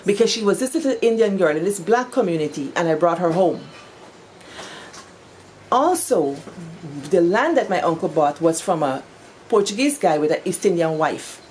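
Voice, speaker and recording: Lower-voiced Guyanese woman hosting. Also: animated, normal speed, darkish tone, slight background noise, poor audio. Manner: chatty, frequent affirming backchannels. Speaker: host. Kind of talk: casual conversational interview.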